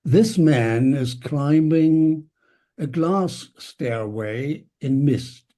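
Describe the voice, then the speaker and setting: A man reading an English translation of a poem slowly and haltingly over a video call. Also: fairly high pitch, dark tone. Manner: slow, halting recitation. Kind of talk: poetry reading.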